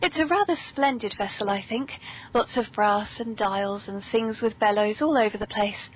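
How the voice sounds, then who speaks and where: A woman's voice: mid-range voice, moderate pace. A British woman hosting a podcast in a genteel, playfully theatrical and very polite manner. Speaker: podcast host. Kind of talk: whimsical scripted hosting and genteel chat. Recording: dull lo-fi recording, background noise.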